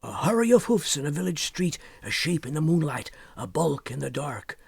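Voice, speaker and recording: Husky, higher-voiced man reciting verse. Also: sing-song, moderate pace, dry recording, studio quality. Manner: theatrical, with swells and hushed drops to near whisper. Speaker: poetry reader. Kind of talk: dramatic recitation of narrative poem.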